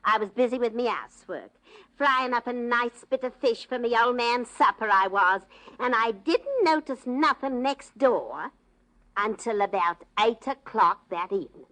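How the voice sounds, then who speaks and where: A gossipy working-class English housewife in an old radio drama. Her fairly high voice swings widely in pitch as she rambles and justifies herself in homely phrases. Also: expressive, unhurried, sharp tone, rough recording. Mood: defensive, gossipy. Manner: rambling, folksy, self-justifying. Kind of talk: old-time radio character acting.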